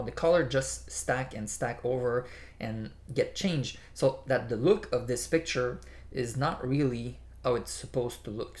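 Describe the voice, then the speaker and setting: A man with a French accent, a native French speaker, walking viewers through photo editing presets in a tutorial, with frequent "you know" fillers. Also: medium pitch. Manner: unhurried, explains step by step with many "you know" fillers. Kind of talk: screen-recorded software tutorial.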